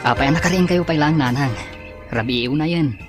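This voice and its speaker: A man's voice: a little high, fairly quick, over music, lightly acted. A man playing a hardworking, respectful young man in an audio drama, speaking reassuringly with an Ilocano accent.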